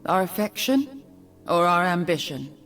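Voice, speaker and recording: A woman playing a poised, proud noble mage in a video game, speaking in a measured, theatrical, regal manner. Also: fairly low pitch, big pitch swings, fairly bright tone, faint music behind. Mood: measured regret. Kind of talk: video game champion voice lines.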